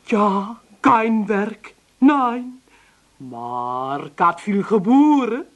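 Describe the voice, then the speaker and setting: An actress playing a phony mystical fortune-teller, speaking in dramatic broken phrases for a comic radio play. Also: female voice, mid-range voice, expressive, dull audio. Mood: solemn, portentous. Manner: slow, dramatic, broken sentences with repetition. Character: phony mystical fortune-teller Madame Veritas, theatrical and gloomy.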